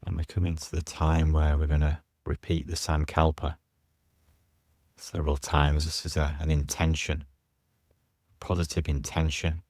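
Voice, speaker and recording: A man guiding a yoga nidra meditation as a teacher, soothing and unhurried, leaving pauses between short phrases. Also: rumbling low, level tone, a little mellow, dry recording, clean studio sound. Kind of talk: guided meditation instruction.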